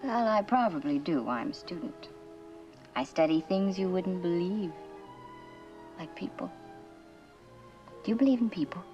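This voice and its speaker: A wry, enigmatic woman with a fairly low voice, in an old suspense drama. She talks in a playful, teasing, philosophical way over background music. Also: lively intonation, unhurried, pauses often, warm tone, highs cut off.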